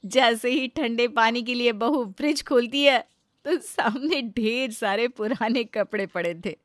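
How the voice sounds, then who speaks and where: A woman's voice: a little high, measured pace, no room sound. A woman narrating a scripted comic family story as the storyteller.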